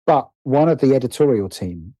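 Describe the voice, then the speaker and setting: A man's voice: mid-range voice, lively intonation, moderate pace, dark tone, no room sound, rough recording. A British man telling personal stories conversationally as an interview guest, hesitant and often interrupting himself as he thinks aloud.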